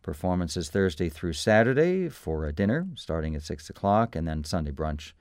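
A man's voice: low-pitched, sing-song, moderate pace, a little mellow, smooth, no echo, clean studio sound. A man hosting a local radio show, chatting with musicians and reading out upcoming events in an easygoing way. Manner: easygoing, chatty, reads listings with small asides. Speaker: radio host. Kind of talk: casual radio interview and event listings. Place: radio studio. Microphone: studio microphone.

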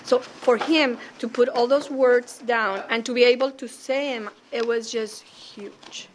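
A woman's voice: on the high side, steady tone, a little slow, slight background noise. A woman teacher speaking earnestly and with care about a pupil in an interview clip.

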